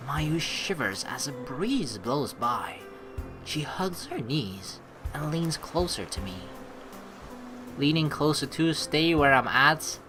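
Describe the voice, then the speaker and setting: A woman hosting a gaming video, reading game narration aloud and reacting in a chatty, theatrical way. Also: deep voice. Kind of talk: let's play commentary and read-aloud game narration. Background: faint game music.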